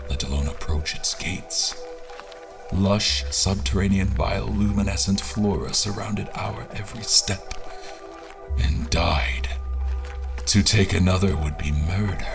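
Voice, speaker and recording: An actor playing a solemn explorer, narrating a fantasy audio drama in the first person with grave, literary delivery. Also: male voice, low voice, vocal fry, full character voice. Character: solemn male explorer recounting his dark expedition.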